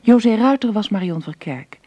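A woman's voice: mid-range voice, expressive, moderate pace, dark tone, dry recording. A woman reading scripted radio credits as an announcer.

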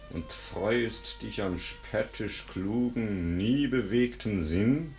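An actor playing a grave accuser in a German verse radio drama, with solemn, measured declamation. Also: male voice, fairly low pitch, exaggerated voice.

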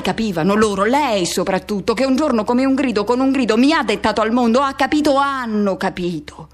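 An actress performing a radio theatre monologue as an anguished person haunted by a lost love, speaking intensely in short, broken, repeated phrases. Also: female voice, medium pitch, lightly acted.

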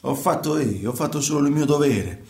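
An actor playing a troubled working fisherman in a colloquial, halting radio monologue, repeating himself. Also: fairly high pitch, expressive, a little mellow, roomy sound. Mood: proud, reflective. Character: troubled working fisherman recounting life at sea. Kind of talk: theatrical radio monologue in conversational storytelling.

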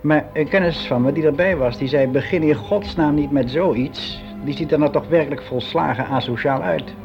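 Timid sixty-year-old clerk, muffled recording. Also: male voice, fairly high pitch, gravelly, over music. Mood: wistful, regretful. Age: 60s. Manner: halting, confiding, colloquial, with hesitant self-corrections. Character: sixty-year-old male office employee, lonely, timid, regretful, candid. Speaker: actor performing a radio monologue. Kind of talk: conversational radio drama monologue. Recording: dull, lo-fi old radio recording.

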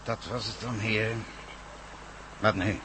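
A man's voice: fairly low pitch, little pitch movement, slow pace. A man acting a stern, weary police commissioner in a radio drama, insistent and businesslike. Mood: resigned, weary. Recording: clearly noisy radio play sound.

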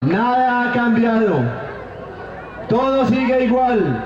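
A man's voice: very high voice, expressive, slow, a little mellow, reverberant, distant mic, muffled recording, a faint crowd, slight background noise. A man making an emphatic political statement.

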